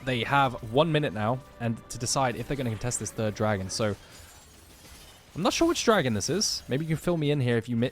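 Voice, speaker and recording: A man casting a live esports match, giving breezy play-by-play and analysis. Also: mid-range voice. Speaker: esports caster.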